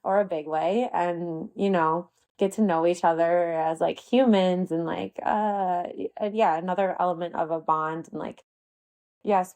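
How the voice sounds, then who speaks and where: A woman's voice: fairly low pitch, a little mellow. A woman hosting a podcast interview, casual and supportive, asking follow-up questions. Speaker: podcast host.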